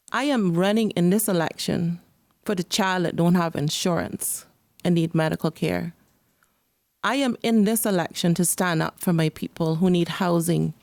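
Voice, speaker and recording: A woman's voice: fairly low pitch, darkish tone, dry recording. A Caymanian woman giving an earnest, emphatic campaign speech and repeating her key phrases. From Cayman Islands. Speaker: election candidate.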